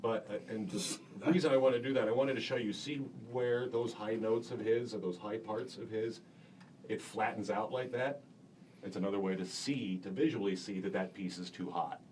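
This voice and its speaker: Deep-voiced casual male instructor. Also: moderate pace, a little mellow. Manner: casual, many fillers. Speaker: broadcasting instructor. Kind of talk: classroom demonstration. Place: school radio studio. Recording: distant mic with faint room hiss.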